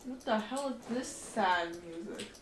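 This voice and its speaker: A woman's voice: mid-range voice, expressive, unhurried, background noise. A woman game streamer giving casual, flippant live commentary in quipping asides. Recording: roomy, with game music behind. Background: game music.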